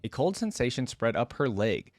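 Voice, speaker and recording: A man's voice: mid-range voice, sing-song, moderate pace, no room sound. Man reading aloud, dry close sound. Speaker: reaction video host. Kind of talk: reading a story aloud with casual reactions.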